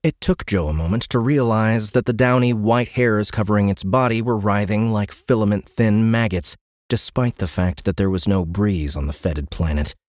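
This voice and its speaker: A man's voice: fairly low pitch, normal speed, a little mellow, no echo, clean studio sound. A man narrating a science fiction audiobook in a dramatic, scripted storytelling style.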